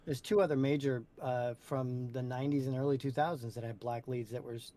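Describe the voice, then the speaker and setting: A man's voice: mid-range voice, steady tone, a little fast, mellow. A man co-hosting, chatting casually as a music fan.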